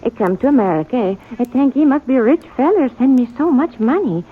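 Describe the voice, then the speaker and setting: An actress playing an earnest, trusting young immigrant bride-to-be in a 1950s radio western, speaking broken English with a Swedish accent. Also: female voice, high voice, very animated, a little fast, husky, exaggerated voice. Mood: hopeful, simple. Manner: simple broken English, plain and stubbornly sincere. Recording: tinny, band-limited old radio recording.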